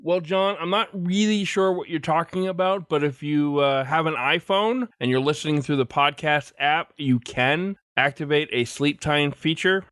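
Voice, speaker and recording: A man with a slightly high voice speaks as a podcast host. He addresses his listeners directly, in the manner of someone handling mail and announcements. The sound is dry, with very little room in it. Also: moderate pace, few pauses, a bit bright, smooth, no room sound.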